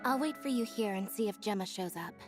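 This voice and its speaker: Aloof young woman, acted by a woman, deadpan, terse, mid-pitched, video game character, clear background music. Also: fairly quick, natural voice. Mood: flat, cool. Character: aloof, unhurried young woman, magician's assistant.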